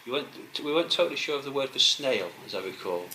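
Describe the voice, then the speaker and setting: A man's voice: mid-range voice, lively intonation, quick pace, bright, forceful tone, a little hiss or hum. A man hosting a casual interview podcast, talking hesitantly with many ums and restarts. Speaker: podcast host, open research adviser. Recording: roomy echo.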